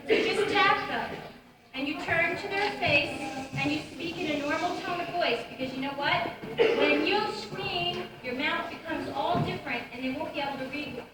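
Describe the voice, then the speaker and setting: High-voiced woman patiently explaining stuff to kids, echoey noisy room. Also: distant mic. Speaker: puppeteer answering children's questions. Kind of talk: explaining to a school audience.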